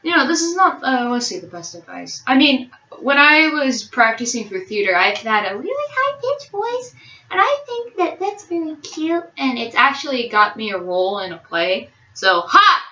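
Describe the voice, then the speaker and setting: A young woman with a bright, lively voice chats in a rambling, giggly way and often laughs at herself. She sounds a little distant from the mic, and the dull recording has a roomy echo. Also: high-pitched, normal speed. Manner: giggly, rambling, self-mocking. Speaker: channel co-host. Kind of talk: casual chat game with friends.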